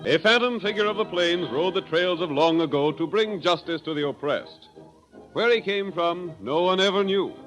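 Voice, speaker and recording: A man's voice: high-pitched, normal speed, fairly bright tone, rough recording. Dramatic old-radio American male announcer. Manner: dramatic, declamatory. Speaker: announcer, narrator. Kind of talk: 1930s radio scripted narration. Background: music.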